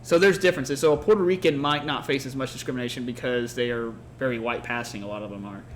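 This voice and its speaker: Podcast co-host, man from Mexico, mid-pitched, earnest and off the cuff, faint hiss behind. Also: fairly even intonation, quick pace. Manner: earnest, thoughtful, many filler words. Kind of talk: casual unscripted conversation. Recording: faint background noise.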